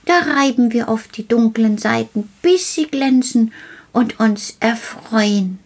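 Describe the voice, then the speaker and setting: A woman voicing a small, excitable male gnome who is eager, childlike and likes to repeat words in a storybook tale. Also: fairly high pitch, slow, slightly rough, pitched above the performer's own voice, full character voice. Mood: proud, explaining.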